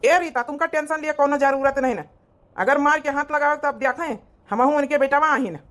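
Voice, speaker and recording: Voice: high voice, a little fast, fairly bright tone. A performer voicing a protective, caring young village husband and brother with an Awadhi accent, earnest in manner. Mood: indignant.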